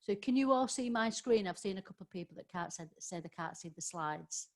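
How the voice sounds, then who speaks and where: A woman's voice: mid-range voice, expressive, fairly quick, darkish tone. A middle-aged woman with a Yorkshire accent, giving a live online training webinar in a chatty way, full of ums and restarts.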